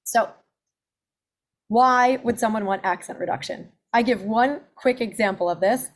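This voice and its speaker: A woman in her forties, an accent and presentation coach, giving an upbeat webinar pitch of her services. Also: measured pace. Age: 40s. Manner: upbeat, self-promoting, listing services.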